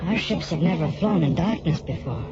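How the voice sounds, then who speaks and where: A man playing a dutiful alien aide to a military commander in a science fiction radio drama, formal and measured. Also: on the high side, animated, background noise. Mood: formal concern. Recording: dull lo-fi recording, loud music behind.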